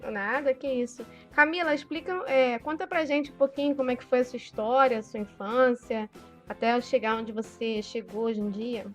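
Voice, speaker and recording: A woman's voice: on the high side, steady tone, moderate pace, soft background music. A woman hosting a casual interview, prompting her guest with friendly questions. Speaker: host.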